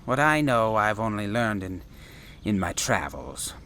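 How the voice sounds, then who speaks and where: A man's voice: on the low side, big pitch swings, a little slow, bright, forceful tone, slight background noise, full character voice. A man playing an eccentric, insistent space traveler and professor in a sci-fi audio drama, with a theatrical, wordy, formal manner. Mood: flustered, modest.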